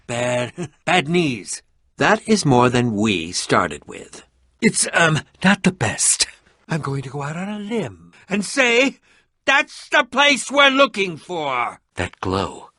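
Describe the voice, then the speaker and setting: An actor voicing an eccentric, prickly and curious middle-aged adventurer in a video game, with a pompous, theatrical manner and formal wording. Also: male voice, mid-range voice, sharp tone, no echo, studio quality, heavily acted. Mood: curious, wry.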